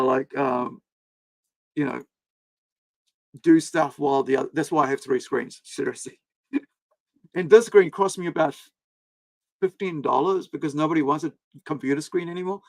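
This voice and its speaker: A man's voice: fairly high pitch, creaky, no echo. A man born in India whose first language was Hindi, chatting casually in a rambling way with many fillers and restarts on a live stream from his home screen setup. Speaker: comic creator and streamer. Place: home room with several screens.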